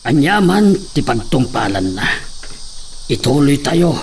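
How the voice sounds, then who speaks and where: An actor in a radio drama playing a steady middle-aged father, grave and consoling. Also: medium pitch, a little slow. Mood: grave, reassuring. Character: steady, consoling father of a grown daughter, a laborer. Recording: faint noise with cricket-like chirping.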